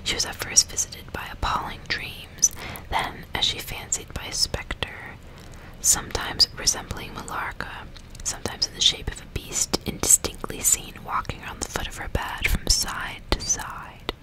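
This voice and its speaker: Female ASMR host and reader: breathy full whisper, close-miked, soft and deliberate delivery. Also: low-quality recording. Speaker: ASMR host reading a novel aloud. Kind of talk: whispered ASMR, chat and audiobook-style reading. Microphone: close ASMR microphone.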